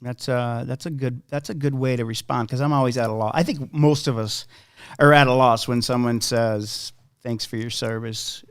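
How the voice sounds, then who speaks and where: A man's voice: medium pitch, steady tone, normal speed, smooth. A man hosting a casual interview podcast, friendly, asking questions with frequent stumbling restarts.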